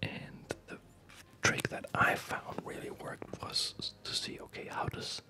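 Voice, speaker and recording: A man whispering a rambling, reflective ASMR commentary while he plays a game, with a breathy voice. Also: slow pace.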